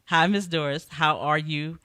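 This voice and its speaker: A woman hosting a live talk show in a chatty, informal way, giving casual shout-outs and introductions. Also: sits low, steady tone, unhurried, clean tone, dry recording, studio quality.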